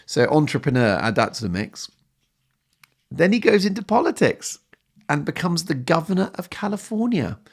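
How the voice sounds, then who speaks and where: Emphatic low-pitched man hosting a podcast. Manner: emphatic, opinionated, builds points in lists. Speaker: podcast host. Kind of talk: chatty solo podcast monologue.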